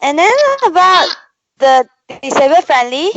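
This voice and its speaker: High-voiced woman chairing a meeting. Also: unhurried, fairly bright tone, no echo. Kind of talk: moderating an informal work meeting. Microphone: video call. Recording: dull video call audio.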